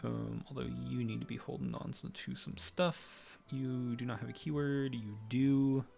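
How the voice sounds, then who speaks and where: A man's medium-pitched voice with plenty of creak gives casual live game commentary. He mutters his reasoning to himself and breaks into exclamations, with faint music underneath. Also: level tone, a little slow, a little mellow. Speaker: video game streamer. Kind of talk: casual live gameplay commentary, thinking aloud.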